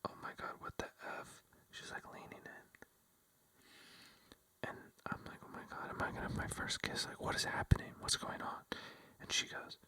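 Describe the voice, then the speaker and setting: A vlogger telling a rambling, casual story in a breathy ASMR whisper, full of fillers like "like" and "you know.". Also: normal speed, pushed and bright. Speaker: vlogger addressing viewers.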